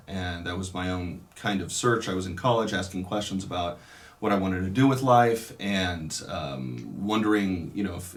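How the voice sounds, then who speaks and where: A man from California, a Dominican priest, telling his life story in a casual interview, reflective and unhurried, with many pauses and restarts. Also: low-pitched, fairly bright tone.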